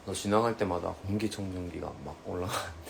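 A man's voice: low-pitched, fast talker, gravelly. A young man in his twenties, teasing and joking bluntly during a casual live stream.

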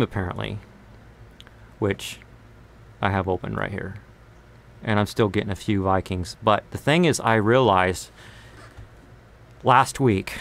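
A man's voice: medium pitch, a bit bright. The guy in his bedroom studio late in a small livestream, leaning by the mic and rambling casually to a few online friends. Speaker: live streamer and singer-songwriter. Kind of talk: casual live stream chat. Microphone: large condenser mic on boom arm, close.

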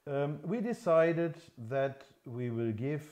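A man in his fifties with a continental European accent, a non-native English speaker explaining things off the cuff in a halting, hesitant way as a workshop presenter. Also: fairly high pitch, lively intonation, darkish tone. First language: not English. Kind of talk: unscripted product explanation. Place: indoor engine workshop showroom.

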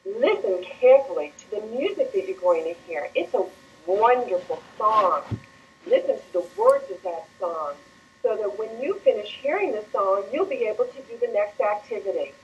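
A woman's voice: medium pitch, big pitch swings, moderate pace, slight background noise. Emphatic woman presenter, old lo-fi video. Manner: earnest, emphatic, speaks to the camera. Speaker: teacher presenter. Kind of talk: instructions to viewers.

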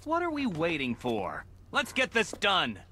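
An impatient, hot-headed gunman in a video game. The voice is sharp and somewhat high, leaping about with urgent agitation. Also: huge pitch swings, measured pace, a little hiss or hum, heavily acted. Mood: urgent anger. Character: hot-headed, impatient armed man eager for revenge. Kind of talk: video game drama acting.

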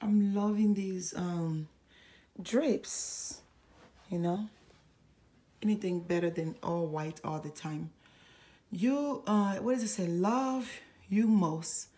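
A woman giving a casual, chatty home tour in a hushed voice, gushing with admiration as she walks through indoor rooms with a handheld camera. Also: slow, pauses often. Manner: hushed, keeping voice low. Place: indoors, walking through a furnished model home. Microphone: handheld phone or camera held by the speaker.

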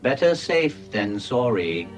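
An actor voicing a prim, cautious and loyal ship's robot in a storybook space drama. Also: male voice, mid-range voice, very animated, slow pace, loud music behind the voice. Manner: prim, formal.

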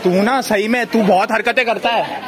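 The excitable young guy filming his mates at a packed public pool, high voice over the clamour, teasing everyone in slang. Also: normal speed. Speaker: vlogger. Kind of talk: casual vlog chat. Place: outdoor public swimming pool. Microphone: handheld phone. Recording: noisy, crowded outdoor pool. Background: crowd, splashing, outdoor noise.

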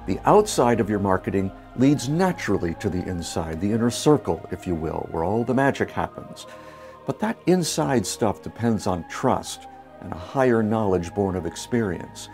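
A man in his fifties hosting a marketing show, speaking to camera in a playful, lively, gently joking way on a lapel mic in a studio. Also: lowish voice. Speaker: marketing coach and show host. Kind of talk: scripted talk to camera. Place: bright studio set.

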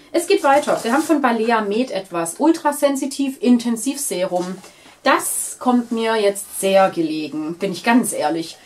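A woman in her thirties with a cold, chatting enthusiastically as she unboxes beauty products on camera in a small bright room. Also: on the high side. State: stuffy, with a cold. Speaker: beauty vlogger. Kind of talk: casual unboxing chat.

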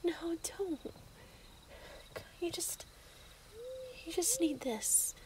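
A woman playing an obsessive, possessive young werewolf in love in an intimate ASMR roleplay, cooing and menacing in breathy half-whispers. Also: very high voice, animated, extremely slow, noisy background, exaggerated voice. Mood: pleading, smitten.

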